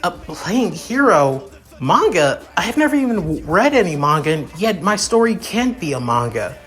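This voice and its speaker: A man's voice: a little high, lively intonation, normal speed, natural voice. A man voicing an earnest teenage boy hero student, sincere and easily flustered, in an amateur anime story. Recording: music behind the voice.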